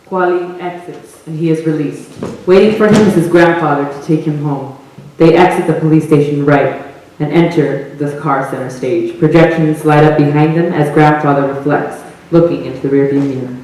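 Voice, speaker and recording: A man's voice: a little high, steady tone, moderate pace, a little mellow, lightly acted. A man reading stage directions as scripted narration. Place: arts center stage, live performance. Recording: echoey hall, far from mic.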